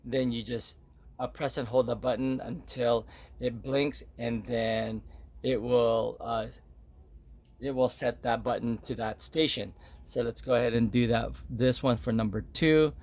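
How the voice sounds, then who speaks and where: A man's voice: mid-range voice, fairly even intonation, unhurried, darkish tone. A man giving a casual, unscripted product demonstration, explaining step by step in a hesitant way.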